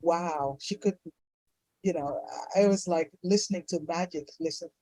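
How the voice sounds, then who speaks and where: Mellow, enthusiastic woman telling her story. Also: fairly low pitch, moderate pace, dry recording, low-quality recording. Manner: enthusiastic, effusive. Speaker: fertility doctor, interview guest. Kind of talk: casual personal storytelling in an interview.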